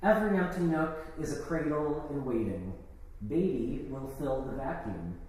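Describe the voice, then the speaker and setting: A man's voice: fairly high pitch, lively intonation, normal speed, darkish tone. A man giving a live poetry reading of his own work, with casual introductions between pieces. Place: live event in an art gallery. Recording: echoey, noisy hall, distant microphone.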